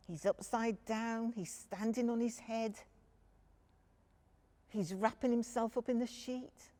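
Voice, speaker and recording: A woman's voice: a little high, fairly even intonation, takes it slowly, dark tone. A woman storyteller reading a picture book expressively to young children, addressing them directly.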